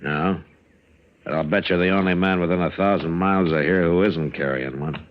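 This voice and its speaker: A man's voice: low voice, steady tone, moderate pace, natural voice. An actor playing a stern, watchful western lawman in an old radio drama, terse and commanding, on a dull, noisy recording. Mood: probing, skeptical. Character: stern, watchful frontier lawman questioning a stranger.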